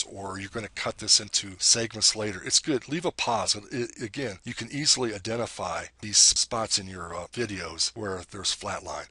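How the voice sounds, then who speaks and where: A man with a deep voice giving a screen-recorded software tutorial, explaining each step plainly and now and then correcting himself. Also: breathy edge, dry recording.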